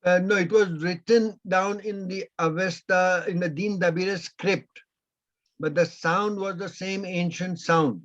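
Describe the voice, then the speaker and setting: Slow, halting man on a video call. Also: high voice, fairly even intonation, a bit bright. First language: Persian. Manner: slow, halting, with many pauses. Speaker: invited speaker. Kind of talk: answering audience questions. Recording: dull lo-fi video call audio.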